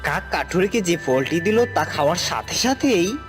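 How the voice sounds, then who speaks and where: Casting: male storybook narrator, animated rise and fall, music under. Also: high voice, moderate pace. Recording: music playing behind.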